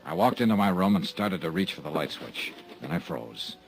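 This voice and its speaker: A man's voice: low voice, animated, moderate pace, gravelly. An actor playing a tough, dry-witted male insurance investigator in a 1950s radio drama, narrating terse and hard-boiled. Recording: old radio transcription with faint hiss.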